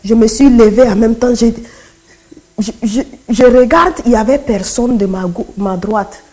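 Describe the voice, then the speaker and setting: Mellow, high-voiced woman giving testimony. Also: moderate pace. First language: Kotokoli. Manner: emphatic, repeats phrases, acts out quoted dialogue. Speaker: church testimony speaker. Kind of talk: personal religious testimony, dramatic storytelling.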